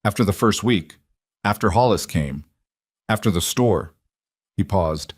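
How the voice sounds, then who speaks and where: A man reading a dramatic western story as scripted narration, measured and deliberate in his delivery. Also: on the low side, animated, no room sound, studio quality. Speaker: audiobook-style story narrator.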